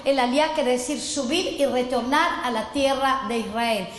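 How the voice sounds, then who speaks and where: A woman's voice: high-pitched, normal speed. A middle-aged woman from Chile giving an earnest sermon lesson, reading scripture and asking listeners to repeat after her. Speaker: messianic apostle teaching. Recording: echoey hall, roomy long reverb.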